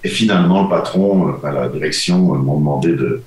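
Deep-voiced man on a roomy video call. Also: quick pace, faint music behind. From Belgium. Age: 40s. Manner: relaxed, repeats words as he searches. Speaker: DJ and producer guest. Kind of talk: casual interview on a video call. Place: home music studio, indoors.

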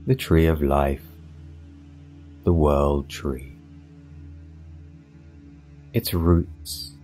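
A man narrating a scripted guided meditation in a soothing, hypnotic, measured way with long pauses. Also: rumbling low. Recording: voice over meditation music. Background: soft meditation music.

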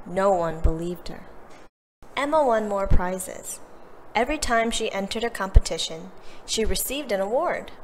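A woman with a mid-range voice reads a children's storybook aloud. Her delivery is expressive, with the characters' lines acted out. Some noise sits behind her voice. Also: mid-range voice, moderate pace, big-room sound. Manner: animated delivery, acting out the characters' quoted lines. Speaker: storybook reader. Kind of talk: expressive read-aloud of a children's picture book. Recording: clearly noisy background.

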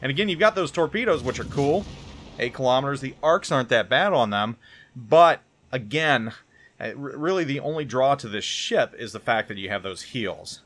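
A man's voice: medium pitch, expressive, normal speed, fairly bright tone. A man giving casual gameplay commentary as a game reviewer, rambling and hesitant, often repeating words.

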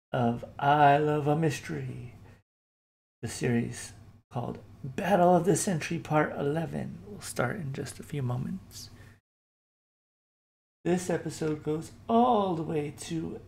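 A man hosting a radio show with an unscripted, halting introduction, correcting himself as he goes. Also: a little high, gravelly, a little mellow. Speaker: online radio host.

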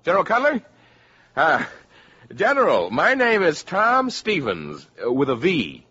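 An actor playing a self-serving, hot-headed American boyfriend in a 1950s radio drama, brash and insistent. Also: male voice, fairly high pitch, huge pitch swings, a little slow, sharp tone, husky, full character voice. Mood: eager. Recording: dull vintage 1950s radio recording.

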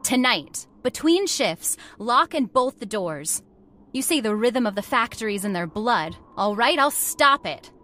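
An actress playing an earnest, headstrong factory heiress in a radio horror drama, with an emphatic, theatrical delivery. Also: female voice, on the high side, sing-song, unhurried, sharp tone, heavily acted. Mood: shaken, commanding. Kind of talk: old-time radio horror drama acting.

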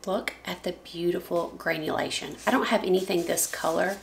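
A woman in her thirties speaks in a lowish, deeply gravelly voice made hoarse by allergies. She chats casually at her desk about her art supplies, correcting herself as she goes and dropping into soft asides. A faint hum of room noise sits under her voice. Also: fairly even intonation, moderate pace. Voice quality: scratchy, gravelly voice. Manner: chatty, self-correcting, drifts into soft asides. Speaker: art vlogger. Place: home art studio, indoors. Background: faint room noise, dog scratching.

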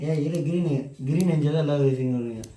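A man's voice: fairly high pitch, fast talker. A man chatting casually in short, informal remarks. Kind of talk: casual chat. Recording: distant echoey voice amid loud noise.

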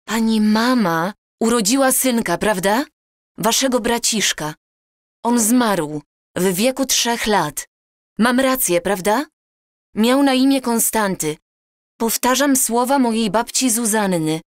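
An actress playing an earnest, courteous and insistent time traveller in an audio drama. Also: female voice, fairly high pitch, expressive, slow, no room sound, studio quality. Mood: earnest, pleading. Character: earnest, pleading granddaughter from the future.

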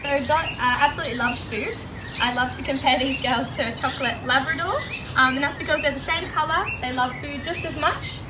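A woman's voice: high-pitched, fairly even intonation, a little fast, a crowd in the background. A woman giving a chatty, playful, informal animal talk as a zookeeper. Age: 20s. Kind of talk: informal educational animal talk to a live audience. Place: outdoor zoo enclosure. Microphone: handheld phone a few steps away. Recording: noisy outdoor phone recording with birdsong.

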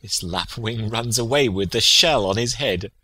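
An actor playing a loyal, concerned gentleman, friend to a prince, in a Shakespearean dramatic reading. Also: on the low side, normal speed, no room sound.

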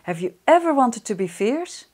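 A middle-aged woman reading a picture book to young children, expressive and deliberate, addressing them directly. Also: on the high side. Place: small wood-panelled room indoors. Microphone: lapel microphone.

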